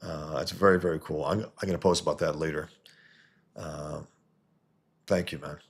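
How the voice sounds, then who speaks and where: A man from New York hosting a casual livestream, reading viewer comments and answering in a halting way that restarts and repeats words. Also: extremely low pitch, fairly even intonation, creaky, breathy edge. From New York, USA. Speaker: livestream host and comic artist.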